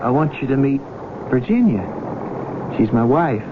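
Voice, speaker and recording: An actor in a 1970s radio drama playing an amiable, earnest younger brother back from college, with a hesitant, conciliatory, folksy manner. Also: male voice, medium pitch, very animated, unhurried. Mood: sheepish. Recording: noisy, muffled vintage radio broadcast.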